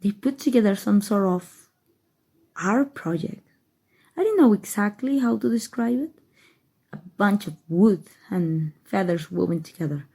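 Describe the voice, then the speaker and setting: A woman with a Mexican accent playing a haughty, scheming evil queen in a soft, musing ASMR roleplay monologue. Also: mid-range voice, expressive, breathy edge. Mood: puzzled, disdainful. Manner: slow, measured, musing delivery addressed to a listener.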